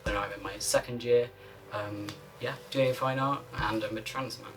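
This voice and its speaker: A man in his twenties speaking hesitantly and softly in a documentary interview. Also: on the low side, steady tone, moderate pace, music in the background. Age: 20s. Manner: hesitant, soft-spoken.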